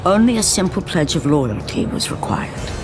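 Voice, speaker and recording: A woman playing a grave, knowing storyteller, narrating a video game myth in a measured, portentous manner. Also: low voice, sing-song, creaky, music in the background, full character voice. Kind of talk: dramatic scripted game narration.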